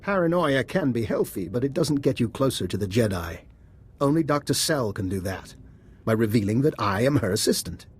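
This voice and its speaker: An actor playing a resourceful, composed doctor and schemer in a video game, speaking in a measured, persuasive way. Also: male voice, medium pitch, animated, breathy edge. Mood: calm, persuasive. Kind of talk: video game cutscene acting.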